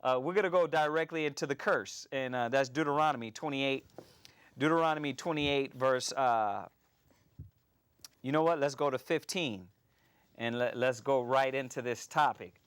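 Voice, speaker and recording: A man hosts a live religious broadcast from a small studio. He rambles loosely and keeps restarting his sentences. His voice sits at a middling pitch, and the sound is dry and close. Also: moderate pace, a bit bright, smooth, no room sound. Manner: loose, repetitive, many fillers and restarts. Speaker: host. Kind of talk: hosting a live religious cable show.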